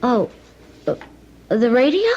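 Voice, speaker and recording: Anxious, coaxing wife, lo-fi. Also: female voice, fairly high pitch, very animated, takes it slowly, exaggerated voice. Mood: evasive, uneasy. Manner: coaxing, hesitant. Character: anxious, caring wife of a policeman. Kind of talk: old-time radio drama acting. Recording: dull, lo-fi radio recording.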